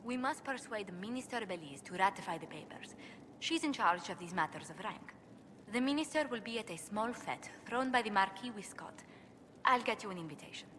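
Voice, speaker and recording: An actress playing a gentle, earnest noblewoman ambassador in a video game, speaking politely and formally with careful wording. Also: medium pitch, animated, brisk, a little hiss or hum, natural voice. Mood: businesslike. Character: poised, courteous noblewoman ambassador, former bard, gentle and earnest. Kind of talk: video game cutscene acting.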